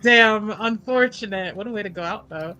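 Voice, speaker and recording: A woman's voice: medium pitch, normal speed, pushed and bright, dull audio. A woman casually playing a tabletop roleplaying game, talking through her character's moves with emphatic, sweary bluntness.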